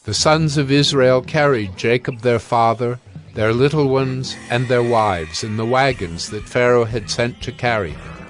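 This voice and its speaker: A male narrator at a middle pitch tells the scripture story in a steady, even way. Each clause is paced carefully. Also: a little slow, soft background music.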